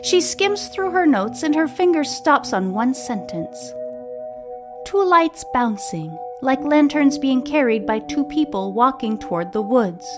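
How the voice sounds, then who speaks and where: A woman reading a scripted serial story aloud as a podcast host, with a measured, deliberate storytelling delivery. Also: sits high, lively intonation. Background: music.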